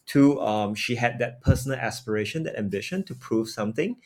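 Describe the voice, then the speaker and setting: A man's voice: medium pitch, brisk, a little mellow. A man in his thirties from Singapore, answering interview questions thoughtfully with frequent fillers and restarts. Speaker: podcast guest, nonprofit founder.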